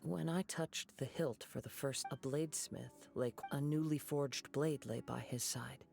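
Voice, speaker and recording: An actor playing a courteous, composed young male wandering samurai in a fantasy video game, soft-spoken and formal. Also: medium pitch, measured pace, gravelly. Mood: reflective, calm. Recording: music under the voice. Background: game music.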